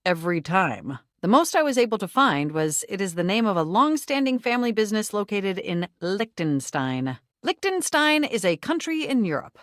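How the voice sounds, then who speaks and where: A woman's voice: medium pitch, very animated, normal speed, dry recording, studio quality, close to an everyday voice. A woman playing a polite, literal-minded desktop computer in a video game, speaking formally and precisely with affection. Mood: helpful, puzzled.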